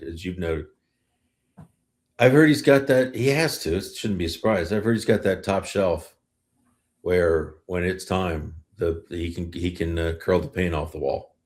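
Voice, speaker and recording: A man with a low voice hosts a casual sports podcast. He talks informally, in a halting way, restarting thoughts as he goes. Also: a little mellow.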